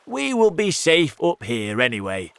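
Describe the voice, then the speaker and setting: A man voicing a blustering but nervous centipede in a storybook, insistent and drawn-out. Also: on the high side, huge pitch swings, vocal fry, fairly bright tone, smooth, no room sound, voice pushed up, exaggerated voice. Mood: afraid bluster. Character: blustering centipede, bold but secretly afraid.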